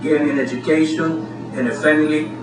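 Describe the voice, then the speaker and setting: A man's voice: on the high side, steady tone, brisk. A man with a Greek accent giving an earnest, impassioned campaign speech at a community meeting. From Greece. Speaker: candidate speaking at a community meeting. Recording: distant roomy hall recording with noise.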